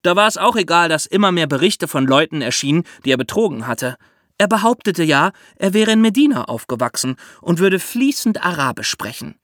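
Guy podcast host, bright higher voice, chatty history storytelling, dry sound. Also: very animated, a little fast, no room sound.

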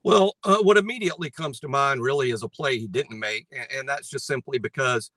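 Male sports analyst, bright voice, super dry sound, measured and analytical. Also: medium pitch, no room sound. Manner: measured, analytical, with frequent restarts. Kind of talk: casual sports talk.

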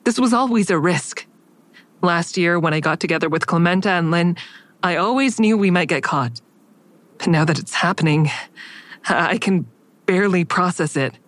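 Low-voiced, guarded woman narrator played by an actress. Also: normal speed, dry recording, close to an everyday voice. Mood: quiet dread. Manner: restrained, guarded, introspective. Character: anxious, guarded woman narrating her own interrogation. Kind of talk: first-person audio drama narration and dialogue.

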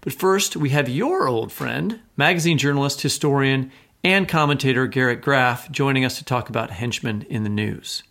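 A man hosting a podcast with a mid-pitched voice. He talks casually and colloquially, with a wry, teasing edge, moving between banter and introductions. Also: normal speed, fairly bright tone. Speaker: podcast co-host and novelist.

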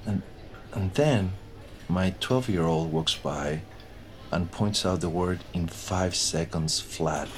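Low, slow, weary retired detective. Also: male voice, slight background noise. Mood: weary, reflective. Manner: halting, plainspoken, trailing off. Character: haunted, weary retired male police detective, aging. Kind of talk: audio drama acting. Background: music.

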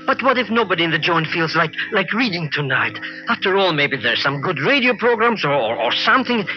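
An actor playing a timid, excitable comic male sidekick with slangy, rambling speech in an old radio drama. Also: on the high side, brisk, slight background noise. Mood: nervous. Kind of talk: old-time radio adventure drama acting. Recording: dull vintage radio recording with music.

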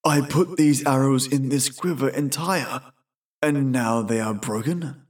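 A man's voice: medium pitch, measured pace, distant mic, natural voice. A man voicing a proud, stern medieval Scottish knight with solemn, declamatory phrasing in a storybook tale. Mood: puzzled.

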